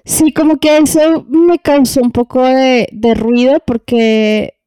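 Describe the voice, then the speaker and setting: A woman's voice: high-pitched, fairly even intonation, slow pace, dark tone, smooth, dry recording. A woman talking on a podcast in a casual way, hesitant and searching for words, with many fillers. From lived in Colombia.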